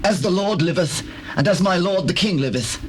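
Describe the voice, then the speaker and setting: An actor playing a fervently loyal foreign soldier in a dramatized scripture, with an earnest, declamatory delivery. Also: male voice, high voice, lively intonation, normal speed, faint music behind. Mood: devoted, fervent. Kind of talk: dramatized scripture, classical stage acting. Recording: noisy old cassette tape, birdsong behind.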